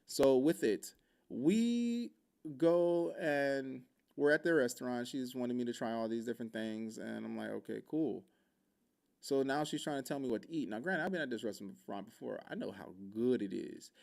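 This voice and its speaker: A man from Hawaii telling a story in a casual, joking podcast chat, animated and full of vocal sound effects. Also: mid-range voice, moderate pace, creaky. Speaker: podcast speaker.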